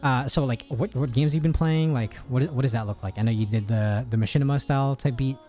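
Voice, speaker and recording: Young man, mid-pitched voice, casual slangy interviewer, encouraging, home stream mic, faint music. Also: fast, warm tone. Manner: laid-back, slangy, hypes up the guest.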